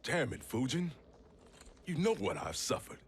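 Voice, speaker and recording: An actor playing a gruff, bitter veteran soldier who talks in a blunt, slangy, tough way, in a video game cutscene. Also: male voice, medium pitch, wildly swooping pitch, a little slow, slight background noise. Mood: pained.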